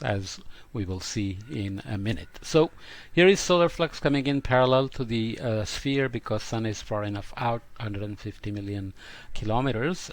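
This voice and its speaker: A man giving an explanatory science lecture in a halting way, often pausing mid-sentence with filler sounds. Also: fairly low pitch.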